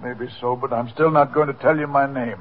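A man's voice: mid-range voice, steady tone, fairly quick, lightly acted. An actor playing a stubborn, hurt man in his seventies in a 1950s radio drama. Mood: wary, stubborn. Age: 70s (figure). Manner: stubborn, plaintive. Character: stubborn, hurt old runaway father, seventy-eight, male. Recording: muffled narrow-band 1950s radio recording.

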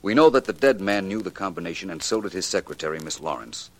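A gentleman sleuth in a vintage radio mystery. His voice is deep, and he speaks in an urbane, measured, precise way, never flustered. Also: lively intonation, a little hiss or hum. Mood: deliberate, puzzled. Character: suave, unflappable gentleman detective. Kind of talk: 1940s radio detective drama acting.

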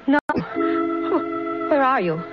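A high, swooping voice of a young murderess in an old radio mystery. She is cornered, hysterical and defiant, speaking in slow, melodramatic phrases on a muffled vintage recording. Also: very animated, over loud music, exaggerated voice. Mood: startled, fearful. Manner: melodramatic, breaking into shrieks. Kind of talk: 1950s radio mystery acting.

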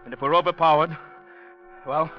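An actor playing a desperate, sensitive man in a 1940s radio drama, speaking urgently and theatrically, with halting turns. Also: on the high side, steady tone, natural voice. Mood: grim, resolute. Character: desperate man hiding in department store, sensitive writer. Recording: dull vintage radio recording, faint music.

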